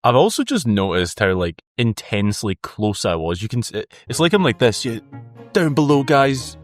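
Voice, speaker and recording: A man's voice: mid-range voice, sing-song, moderate pace, soft background music. A Scottish man in his twenties hosting his own channel, chatting casually and teasing himself with amused asides. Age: 20s. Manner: self-mocking, amused, chatty with restarts. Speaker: YouTube host. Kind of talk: casual reaction commentary.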